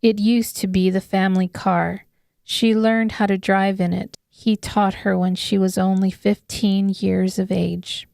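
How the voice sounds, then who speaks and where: Female audiobook narrator, unhurried and smooth, dry close sound, scripted dramatic storytelling. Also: medium pitch, flat delivery, a little mellow, no room sound.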